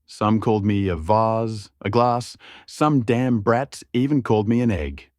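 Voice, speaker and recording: A man's voice: fairly low pitch, measured pace, a little mellow, no echo, clean studio sound, lightly acted. A man voicing a bitter, mocking porcelain guardsman, a murderous villain with an aggrieved, taunting way of talking in an audiobook story.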